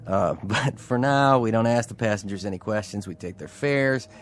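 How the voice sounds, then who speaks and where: A man's voice: fairly low pitch, moderate pace, close to an everyday voice. A man playing a stubborn, wry bus driver in a radio drama, blunt and folksy in his delivery. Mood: sardonic, folksy. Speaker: actor in a radio play.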